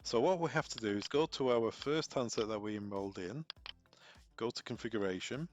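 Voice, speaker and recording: A man's voice: mid-range voice, fairly quick, dull audio. A man presenting an unscripted technical tutorial, informally talking through each step aloud as he goes. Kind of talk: unscripted step-by-step software walkthrough.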